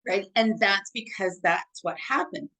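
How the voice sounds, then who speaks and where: A woman with a deep, full voice, talking as a hypnotherapist guest in a casual interview, chatty and full of "like" and "right?". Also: unhurried, fairly bright tone, poor audio. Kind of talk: casual conversation.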